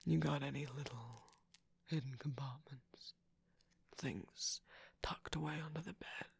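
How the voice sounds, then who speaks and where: Someone doing a tired smug cartoon trickster villain, super creaky n breathy, slow teasing drawl, whispery asmr roleplay. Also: mid-range voice, no echo, full character voice. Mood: sly, curious. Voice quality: breathy, husky, creaky, often dropping into whisper. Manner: drawling, teasing, mock-grand. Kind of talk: ASMR character roleplay, intimate acting.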